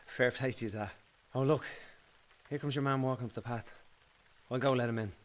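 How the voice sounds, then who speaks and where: Actor as Dublin son amid loud clattering crockery. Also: male voice, medium pitch, steady tone, a little fast, natural voice. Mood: chatty, fond. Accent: Irish, Dublin. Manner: courteous, colloquial. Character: helpful, chatty grown son of a Dublin veteran. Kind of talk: radio play acting, domestic conversation. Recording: loud clinking crockery noise.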